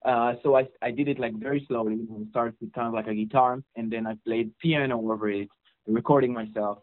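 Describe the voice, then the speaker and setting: A man's voice: medium pitch, steady tone, fairly quick. A young man with an Israeli accent speaks in a loose, rambling way, full of "you know" and "like" and restarting his sentences as an interview guest. The sound is narrow and tinny, like a phone line, with no room echo at all. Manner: rambling, many fillers and restarts. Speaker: guest, mixing engineer. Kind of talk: casual interview. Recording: dry, phone-like audio.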